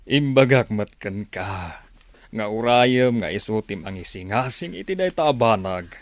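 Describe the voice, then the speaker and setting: A man playing an indulgent middle-aged father and company boss in an Ilocano radio drama, speaking with deliberate, weighty authority. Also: on the low side, expressive, no echo. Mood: conceding, indulgent.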